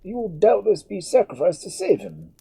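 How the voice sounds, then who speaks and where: A man voicing a calm, grave priest with a breathy voice and measured delivery. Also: high-pitched, voice pushed up, full character voice.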